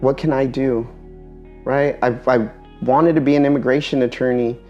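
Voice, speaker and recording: A man giving a personal talk in an earnest, reflective way, pausing often between phrases. Spanish is his first language. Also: mid-range voice, fairly even intonation, lo-fi sound, faint music behind. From Eastern Washington, USA.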